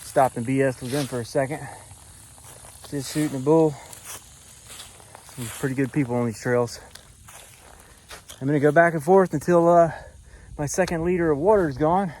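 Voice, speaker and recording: A man's voice: a little high, steady tone, moderate pace, long pauses, a little mellow, slight background noise. Winded man vlogging on a trail. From Florida. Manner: breathing hard, winded while hiking uphill. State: hoarse. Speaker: hiking vlogger. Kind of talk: casual vlog narration to camera. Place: outdoors on a forest hiking trail.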